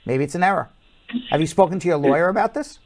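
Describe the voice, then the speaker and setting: A man hosting a live call-in show as an immigration lawyer, giving brisk, blunt, matter-of-fact advice to callers. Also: on the high side, darkish tone.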